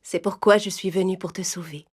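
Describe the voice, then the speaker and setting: A woman voicing a kind, gentle good fairy in a cartoon story, speaking softly and quietly. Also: lowish voice, steady tone, brisk, breathy edge. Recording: music playing underneath.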